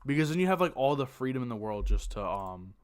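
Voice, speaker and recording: A man's voice: medium pitch, sing-song, fast talker. A young man in his late teens, streaming from home on a desk mic and chatting casually, rambling with lots of fillers and self-corrections. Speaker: streamer reacting to videos. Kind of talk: casual live-stream chat.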